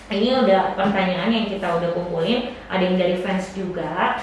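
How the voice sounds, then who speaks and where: A woman interviewer from Jakarta, chatting casually and warmly affirming her guest, often calling her dear. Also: lowish voice, normal speed, recorded from a distance. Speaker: interviewer host. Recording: echoey room, voice from afar, faint noise.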